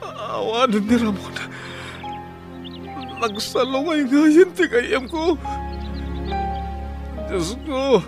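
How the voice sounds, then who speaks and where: An actor with an Ilocano Filipino accent playing an earnest, emotional friend who pleads with a fugitive in a radio drama. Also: male voice, very high-pitched, lively intonation, very slow, long pauses, full character voice. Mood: grieving. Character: earnest male friend urging a fugitive to surrender and repent. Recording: old AM radio drama, music underneath. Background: dramatic music.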